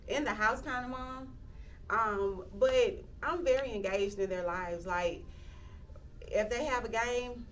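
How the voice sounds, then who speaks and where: A woman, speaking reflectively in an interview, often pausing with "um" and restarting sentences. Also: mid-range voice, distant mic, slight background noise. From Athens, Georgia, US. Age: 30s. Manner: reflective, with frequent "um" fillers and restarts. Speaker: interviewee, local county commissioner. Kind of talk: casual interview talk.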